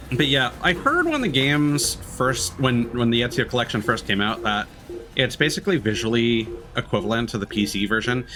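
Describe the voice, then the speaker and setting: A man giving casual let's-play commentary as a game streamer, thinking aloud in a hesitant way. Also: mid-range voice. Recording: game music behind the voice. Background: video game music.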